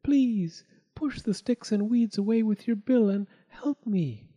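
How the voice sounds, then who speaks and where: A man as pleading, high-pitched brook water spirit. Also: normal speed, close to an everyday voice. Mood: pleading, distressed. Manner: pleading, hushed. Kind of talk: storybook character voice.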